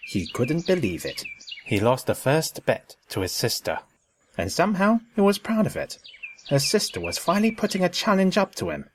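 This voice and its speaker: A man's voice: a little high, wildly swooping pitch, normal speed, a little hiss or hum. A man narrating a scripted story in a storybook style.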